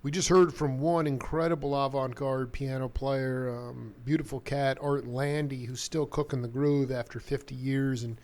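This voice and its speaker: A man's voice: medium pitch, normal speed, hardly any pauses. A man hosting a live radio talk show, rambling easily and calling musicians "cats" as he introduces and questions a guest. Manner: relaxed, rambling, jazz slang like "cat". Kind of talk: loose conversational radio interview.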